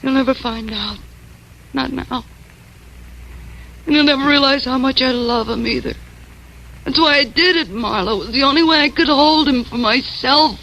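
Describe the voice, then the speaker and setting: A woman's voice: high voice, normal speed, pauses often, pushed and bright. An actress playing a desperate, lovesick, scheming woman in a melodramatic 1940s radio crime drama. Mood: anguished, intense. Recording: noisy old radio recording.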